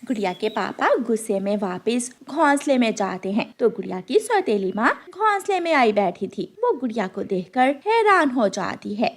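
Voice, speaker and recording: High-pitched expressive woman storyteller. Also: moderate pace, hardly any pauses. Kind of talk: expressive scripted children's story narration.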